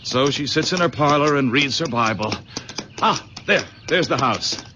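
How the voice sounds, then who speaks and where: A man's voice: mid-range voice, animated, moderate pace, close to an everyday voice. An actor playing an eager, persuasive young man in a radio drama, insistent and effusive. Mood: confiding, animated. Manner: insistent, effusive, repeats himself. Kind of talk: 1970s radio drama acting. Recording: muffled, noisy old radio broadcast recording.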